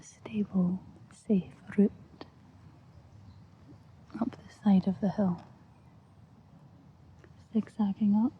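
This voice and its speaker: A Scottish woman speaks softly and breathily, often in a whisper, gently and soothingly guiding an ASMR visualisation with long pauses. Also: mid-range voice, steady tone. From Scotland. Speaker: ASMR host. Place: outdoors, lying on grass. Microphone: close-up. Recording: muffled lo-fi outdoor recording, faint noise.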